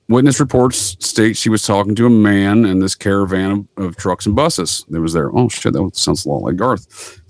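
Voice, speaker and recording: Low-pitched man telling conspiracy stories. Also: no echo. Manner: rambling storytelling, emphatic repetition, slangy. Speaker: podcast guest. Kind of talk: casual conspiracy talk.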